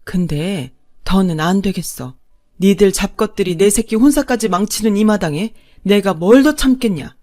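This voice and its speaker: A woman's voice: mid-range voice, lively intonation, moderate pace, darkish tone, faint music behind, natural voice. A woman voicing a long-suffering older wife and mother, fierce, defiant and coarse-tongued, in a dramatised family story. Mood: bitter fury. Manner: blunt, biting, coarse-tongued.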